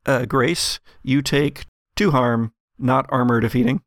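A game master narrating a tabletop adventure in a dramatic, deliberate storytelling manner. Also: medium pitch, fairly even intonation, no room sound, clean studio sound.

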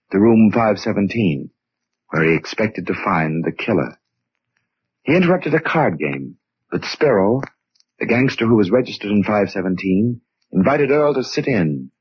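A man's voice: on the low side, breathy edge. A man with an American accent delivering scripted narration for a 1940s radio mystery. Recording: muffled 1940s radio recording.

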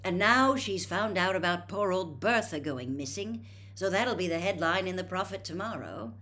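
A woman's voice: fairly low pitch, expressive, normal speed, fairly bright tone, voice pushed up. A woman reading aloud, voicing a tired middle-aged man who grumbles irritably. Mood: weary. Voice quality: weary, irritable.

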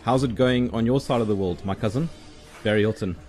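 A man's voice: mid-range voice, brisk, a little mellow, faint music behind. A man with a South African accent, hosting a casual interview over a video call. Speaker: show host interviewer.

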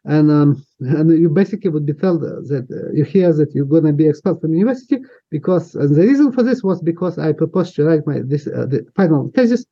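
A man's voice: on the high side, expressive, brisk, warm tone, breathy edge. A man in his fifties from Ukraine with a Ukrainian accent, speaking English as a second language and recounting his past hesitantly, full of fillers and restarts. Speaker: interviewee. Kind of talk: recounting personal history. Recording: dull lo-fi sound.

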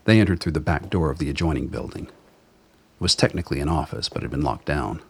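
A man's voice: fairly quick, a little hiss or hum. A man delivering scripted narration for a radio drama as its storyteller. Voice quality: very deep, husky.

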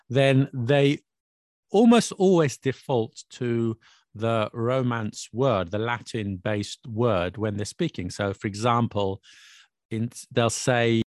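A man's voice: medium pitch, no room sound. Male host, chatty teacher type, dry close mic. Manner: chatty, explanatory, laughs often. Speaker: podcast host and English teacher. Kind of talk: casual chat. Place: Paris.

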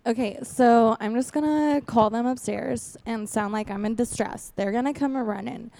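A woman acts out a teenage girl who schemes with breezy menace in an improvised radio comedy. The voice is fairly high and soft-edged, slangy and insistent. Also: moderate pace, gravelly. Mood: scheming. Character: scheming, casually murderous adolescent girl, impatient with brother.

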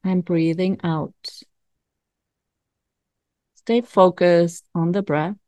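Female meditation guide, lowish and very unhurried, calm and soothing, leaving long pauses, close dry sound. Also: dry recording. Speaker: meditation coach.

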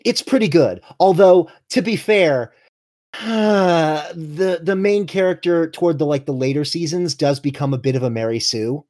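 A man's voice: a little high, unhurried, a little mellow. An American man co-hosting a podcast in casual conversation, explaining things in a discursive, wry way. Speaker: podcast co-host.

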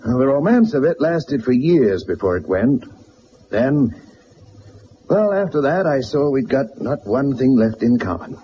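Actor as proud, blunt tycoon, animated pitch. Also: male voice, lightly acted. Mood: pained, confessional. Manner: halting, emphatic, confessional. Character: wealthy, proud, blunt middle-aged businessman, used to power. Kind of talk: radio drama acting. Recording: dull, noisy old radio drama recording.

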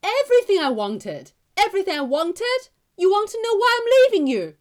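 Actress as angry fed-up girlfriend, extremely high-pitched, wildly swooping, scolding, indignant, comic radio drama. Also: sing-song, a little fast, darkish tone. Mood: scolding, exasperated. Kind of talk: comic radio play acting.

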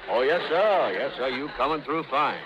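A man playing a slow-witted, amiable working man in an old radio comedy, folksy, hesitant and deferential. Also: medium pitch, expressive, muffled recording, lightly acted. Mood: eager, then puzzled. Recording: noisy vintage radio recording with clicks.

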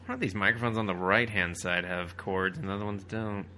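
A man's voice: low voice, fairly quick, bright, forceful tone, slight background noise. A man co-hosting a casual comic riffing commentary, with a sarcastic, crude joking manner.

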